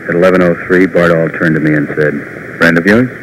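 Deep-voiced hard-boiled American detective. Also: male voice, fast talker. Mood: terse, deadpan. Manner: clipped, deadpan police-drama delivery. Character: terse, hard-boiled male detective narrator in cartoon advert. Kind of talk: 1950s cartoon commercial, police radio drama parody. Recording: noisy old 1950s TV film soundtrack.

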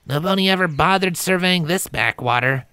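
A man's voice: sits high, moderate pace, bright, forceful tone, clear, resonant tone, no echo, pitched above the performer's own voice. A man voicing a blunt, wry female griffon mercenary in a fantasy story. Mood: wry, grumbling.

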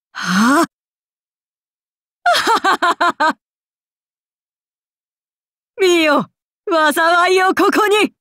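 A woman's voice: extremely high pitch, bright, forceful tone, dry recording, clean studio sound, heavily acted. A woman voicing a haughty, amused and predatory ancient serpent-god oni in a game, speaking in archaic, imperious, languid phrases. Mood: menacing, gleeful. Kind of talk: Japanese game character voice lines.

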